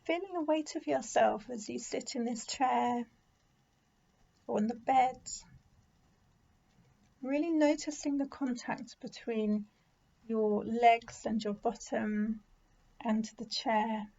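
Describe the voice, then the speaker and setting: A woman leading a guided meditation in a gentle, soothing, unhurried manner with pauses. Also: a little high, vocal fry, lo-fi sound. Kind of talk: guided body scan meditation.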